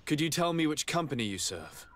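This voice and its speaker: A man playing a composed, courteous noble diplomat in a video game, speaking in a measured, formal way. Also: on the high side, very animated, fairly bright tone, a little hiss or hum. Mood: businesslike. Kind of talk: video game cutscene acting.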